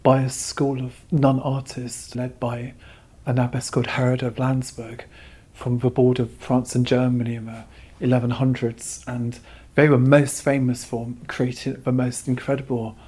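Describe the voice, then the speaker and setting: Husky man explaining in an interview. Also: medium pitch, level tone, normal speed, warm tone. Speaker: exhibition curator, interview guest.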